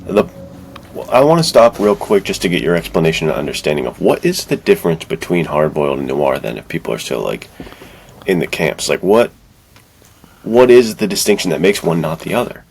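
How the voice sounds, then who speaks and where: A man's voice: deep voice, very animated, a little fast, creaky, slight background noise. A man co-hosting a podcast in casual chat, reacting with slangy emphasis and putting questions to his partner. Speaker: podcast co-host.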